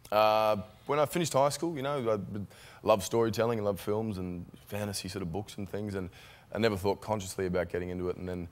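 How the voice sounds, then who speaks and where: Deep-voiced young Australian man, laid-back. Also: a little fast. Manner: relaxed, laughing, rambling anecdotes. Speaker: actor guest. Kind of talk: talk show interview. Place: television studio. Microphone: guest desk microphone.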